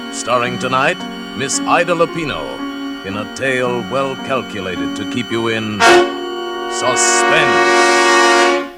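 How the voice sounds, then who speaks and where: An American man of medium pitch makes dramatic 1940s radio introductions. Loud music plays behind his voice. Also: lively intonation, slow pace. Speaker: radio announcer.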